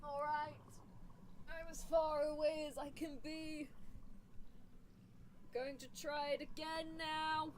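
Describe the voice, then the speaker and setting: Female, higher register, improvised ASMR character work: possessive, exasperated anime mad scientist, slightly noisy livestream recording. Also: little pitch movement, takes it slowly, long pauses. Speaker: streamer performing character roleplay.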